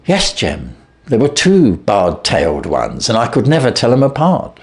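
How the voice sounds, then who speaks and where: A brisk, slightly huffy middle-aged countrywoman, put on by a male reader in a raised voice that leaps about in pitch. She answers short and a little offended. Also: huge pitch swings. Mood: plain. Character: fussy middle-aged countrywoman selling geese.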